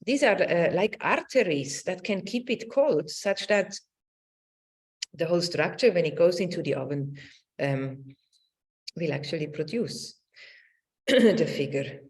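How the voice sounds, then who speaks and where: A middle-aged woman giving a semi-improvised academic talk over a video call, haltingly searching for words and checking with a questioning tag. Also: on the low side, animated. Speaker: academic lecturer. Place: indoor room, online presentation.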